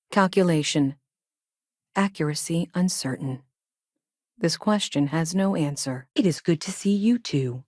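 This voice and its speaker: A sentient space probe intelligence for a video game. The voice is low and dry, close and free of room sound. It speaks in clipped, literal, robotic phrases, announcing each statement like a label, with an earnest, childlike logic. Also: female voice, a little mellow, no room sound, exaggerated voice. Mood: matter-of-fact. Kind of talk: scripted video game dialogue.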